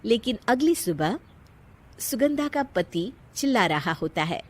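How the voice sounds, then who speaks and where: A woman's voice: fairly high pitch, very animated, unhurried. A woman narrating a scripted story, playfully addressing her listeners.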